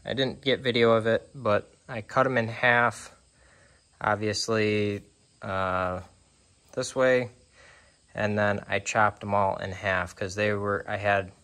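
A man casually walking through his trailer build outdoors, talking slowly with lots of ums and uhs. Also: on the low side, clean tone, no echo. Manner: slow, relaxed, many ums and uhs. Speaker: DIY sawmill builder. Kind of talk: casual workshop walkthrough.

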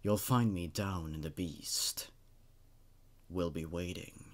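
A man's voice: low-pitched, slow. A man in his twenties, a non-native English speaker, hosting and narrating horror stories into a close studio microphone. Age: 20s. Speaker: YouTube host reading horror stories. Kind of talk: story narration and casual commentary. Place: small dark indoor room. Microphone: studio microphone on a boom arm, close.

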